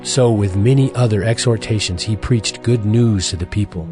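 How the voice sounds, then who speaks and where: Male audio Bible narrator, lowish pitch, scripted storytelling read, clear music bed. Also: normal speed.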